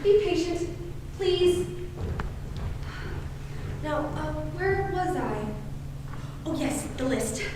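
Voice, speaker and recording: Fussy genteel matron aunt, played by a young woman, American, coaxing, animated delivery, heard from far off in an echoey hall. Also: very high voice, very slow, long pauses, heavily acted. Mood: fussy, distracted. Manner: sugary, mannered, coaxing, flustered exclamations. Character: fussy, genteel Southern matron aunt, cajoling and easily flustered. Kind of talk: high school stage play acting. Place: indoor school stage, filmed performance. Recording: echoey hall, distant camera, faint noise.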